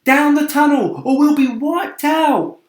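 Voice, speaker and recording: Boy adventurer for a storybook, played by a man: high, excitable, urgent, echoey recording. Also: unhurried. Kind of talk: children's storybook reading. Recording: roomy, echoing sound.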